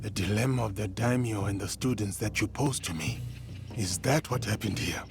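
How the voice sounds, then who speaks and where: An actor playing an earnest, humble samurai warrior in a video game, with formal and solemn phrasing. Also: male voice, lowish voice, moderate pace, over music, lightly acted. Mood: solemn, thoughtful. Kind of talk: dramatic video game acting.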